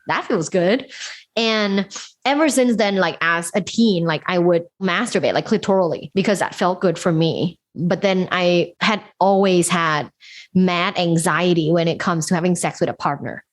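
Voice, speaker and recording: A woman's voice: lowish voice, normal speed. Candid, chatty woman guest. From Thailand. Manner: candid, chatty, laughs often, many fillers. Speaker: guest sex educator. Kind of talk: casual interview talk.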